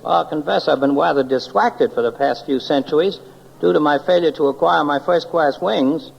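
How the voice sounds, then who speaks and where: An actor playing a fussy, eccentric, centuries-old guardian angel in a 1940s radio drama, speaking in a pompous, wordy, formal way. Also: male voice, a little high, normal speed, gravelly. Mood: earnest, chatty. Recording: muffled, noisy old 1940s radio recording.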